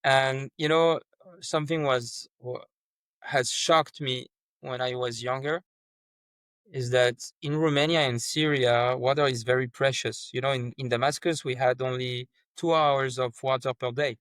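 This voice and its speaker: A man's voice: medium pitch, steady tone, a little slow, a bit bright, no room sound. Earnest man, many restarts. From France. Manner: earnest, repeats words and restarts often. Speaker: startup founder, guest. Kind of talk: conversational interview.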